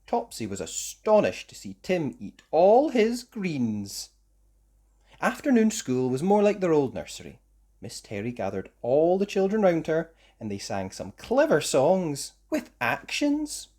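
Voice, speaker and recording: Animated storybook reader with very lively pitch. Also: measured pace. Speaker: children's story reader, host. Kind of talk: expressive read-aloud storybook narration.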